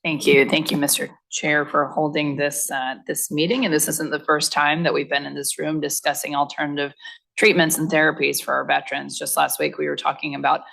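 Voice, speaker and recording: A woman, a subcommittee chairwoman, giving formal opening remarks in a measured way. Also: low voice, level tone, vocal fry, fairly bright tone, poor audio. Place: hearing room.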